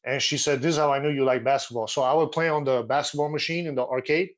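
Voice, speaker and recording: A man's voice: mid-range voice, quick pace. Easygoing young man telling stories. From Los Angeles, USA. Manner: relaxed storytelling, with frequent fillers. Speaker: guest. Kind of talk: casual interview. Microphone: video call with earphone mic.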